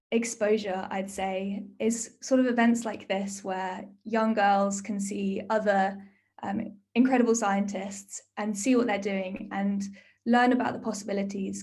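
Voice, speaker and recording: Young woman scientist, earnest and thoughtful, middle pitch. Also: darkish tone. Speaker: neuroscientist storyteller. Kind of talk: prepared talk, then answering a question.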